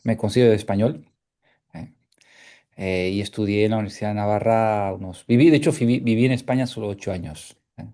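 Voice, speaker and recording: A man's voice: fairly low pitch, fairly quick, mellow. A man from Canada, a sports journalist speaking as a seminar guest, hesitant with frequent fillers, restarts and tag questions. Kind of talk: conversational seminar talk.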